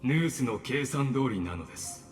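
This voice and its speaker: A man voicing a calm, polite and calculating male villain in a game dub. He speaks in a formal, measured way. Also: mid-range voice, natural voice. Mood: courteous, cold. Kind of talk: Japanese game dub acting. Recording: game audio with reverb and faint music.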